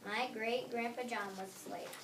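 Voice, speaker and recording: A woman's voice: fairly high pitch, fairly even intonation, normal speed, natural voice. A girl of about eight or nine reading the part of a child who tells a family story, in an earnest school readers theater style. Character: grandparent-taught child telling great-grandpa's escape story. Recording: echoey noisy room from far off.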